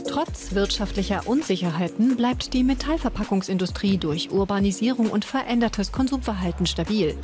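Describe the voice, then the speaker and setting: Woman, news voice-over, measured reading, faint music underneath. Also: medium pitch, lively intonation. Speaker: news narrator. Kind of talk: scripted voice-over narration.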